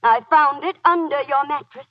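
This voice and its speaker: A woman plays a loving wife who keeps her husband firmly in line, in 1940s radio style. Her voice sits high. The recording is dry and lacks sparkle, like an old broadcast. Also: moderate pace, no echo, highs cut off, lightly acted. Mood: reproving. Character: fond but firm, reproving wife of an old Marine.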